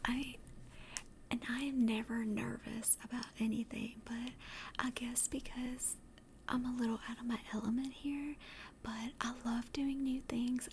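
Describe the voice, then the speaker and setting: A woman chatting casually to livestream viewers in a soft, breathy ASMR whisper, gentle and rambling, close to the microphone. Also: slight background noise. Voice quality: soft, breathy whisper and hushed low-volume speech. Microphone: close directional microphone.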